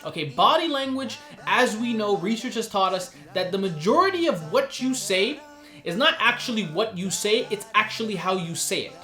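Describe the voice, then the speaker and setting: A man's voice: high-pitched, animated, moderate pace, pushed and bright, roomy sound, faint music behind. A man hosting a recorded course, explaining performance techniques in an earnest, conversational teaching manner with frequent asides. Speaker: course host and instructor.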